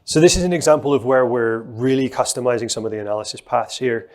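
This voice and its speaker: Middle-aged male presenter at a conference lectern, steady explainer, mid-pitched, lots of ums. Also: fast talker. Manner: explanatory, steady, with frequent fillers. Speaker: presenter giving a software demo. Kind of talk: conference talk with live demo.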